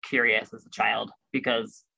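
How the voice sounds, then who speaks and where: A man's voice: fairly low pitch, flat delivery, a little slow, creaky, pushed and bright. A man from Russia co-hosting a podcast, chatting casually as a curious, responsive listener. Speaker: podcast co-host. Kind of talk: casual chat. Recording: dull lo-fi recording.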